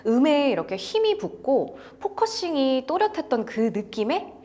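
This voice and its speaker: A woman's voice: sits high, big pitch swings, moderate pace, warm tone. A woman co-hosting a conversational product review, describing her impressions. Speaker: co-host of an audio gear review show.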